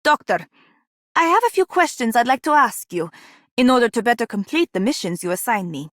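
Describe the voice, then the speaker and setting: A woman's voice: on the high side, big pitch swings, fairly quick, fairly bright tone, no room sound. A woman voicing a disciplined, wary young female soldier in a game, formal and probing. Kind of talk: game character acting.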